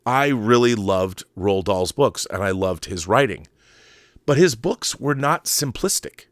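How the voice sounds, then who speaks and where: A man's voice: fairly low pitch, animated, measured pace, no room sound, clean studio sound. A middle-aged man giving casual, rambling opinion commentary in an emphatic, conversational way, often digressing. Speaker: host of a film and book commentary show.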